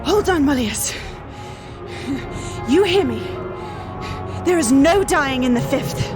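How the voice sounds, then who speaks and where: A woman voicing a tough, protective female infantry captain who shouts urgent commands in an audio drama. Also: high-pitched, lively intonation, takes it slowly, music in the background.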